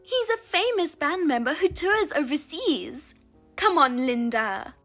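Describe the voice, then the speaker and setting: A woman plays a husband-stealing younger sister in a voiced drama, smug and taunting, her high voice leaping all over as she drags out her words. Music plays underneath her. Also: animated, a bit bright, heavily acted. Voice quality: high and sing-song, taunting. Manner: mocking, self-satisfied, drawn-out teasing.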